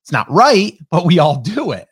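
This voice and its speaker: A man in his late forties gives an easygoing motivational coaching talk. His voice sits a little high for a man. He keeps asking his listeners "okay?" and "right?" as he goes. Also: sing-song, moderate pace, slightly rough. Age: 40s. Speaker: marketing coach hosting a coffee talk.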